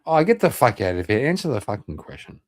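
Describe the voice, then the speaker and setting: A man's voice: mid-range voice, big pitch swings, fast talker. A man hosting a casual livestream, swearing often and talking in a blunt, combative way. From Northern Ireland. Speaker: livestream host.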